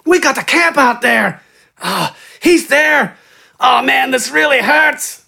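Whiny injured storybook bandit, piercing strained voice, slow, pained gasps, pleading. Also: male voice, very high-pitched, lively intonation, creaky, bright, forceful tone, pitched above the performer's own voice, exaggerated voice. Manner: pleading, gasping. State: gasping in pain. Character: cowardly whimpering injured male bandit.

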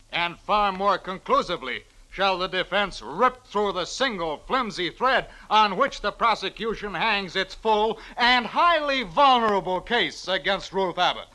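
Theatrical, high-pitched male defense attorney. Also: sharp tone, heavily acted, low-quality recording. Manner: oratorical, rhetorical, dramatic pauses. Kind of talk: old-time radio drama acting.

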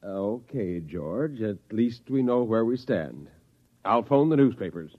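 A man's voice: fairly low pitch, expressive, a little slow. A man playing an earnest, courteous professor in an old radio drama, hesitant and anxious in his pleading. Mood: wary, reasoning. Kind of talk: 1950s radio drama acting. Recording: dull vintage radio recording.

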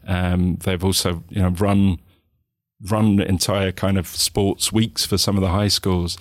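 Hesitant soft-spoken southern English man. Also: sits low, level tone, unhurried, no room sound, studio quality. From England. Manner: hesitant, many restarts and you-knows. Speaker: guest. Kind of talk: reflective interview talk.

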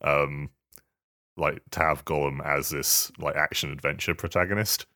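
A man's voice: very deep voice, steady tone, normal speed, pushed and bright, no echo, clean studio sound. A man talking casually on a podcast, sometimes reading a statement aloud. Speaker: podcast panellist.

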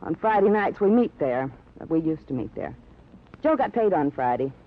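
An actress playing a chatty, genteel, self-absorbed newly widowed housewife, fluttery and rambling, in a 1950s radio drama style. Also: female voice, deep voice, big pitch swings, normal speed, exaggerated voice. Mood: wistful. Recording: tinny, noisy old radio recording.

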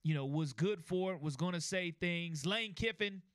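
Bright, high-voiced sports co-host man. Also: moderate pace, smooth, no echo, studio quality. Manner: earnest, repeats words when starting sentences. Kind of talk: casual sports talk.

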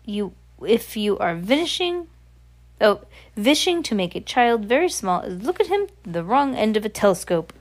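A woman's voice: medium pitch, very animated, moderate pace, pitched above the performer's own voice. Woman voicing an imperious, scheming storybook witch. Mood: boastful, instructing. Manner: commanding, oddly phrased grammar. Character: imperious, scheming, vicious female Grand High Witch.

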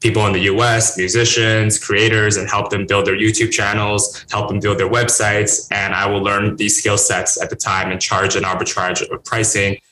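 Guest: young man from China, native Chinese speaker, earnest and rambling, tense bright tone, echoey room. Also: lowish voice, flat delivery, moderate pace, few pauses, a little hiss or hum. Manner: earnest, rambling answers with restarts. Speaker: guest entrepreneur. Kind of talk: casual podcast interview. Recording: roomy echo.

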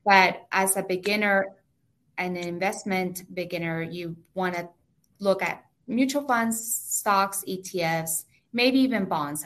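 A woman's voice: on the low side, a bit bright. A woman with a heavy Mexican Spanish accent, a native Spanish speaker, patiently explaining finances step by step as an interview guest.

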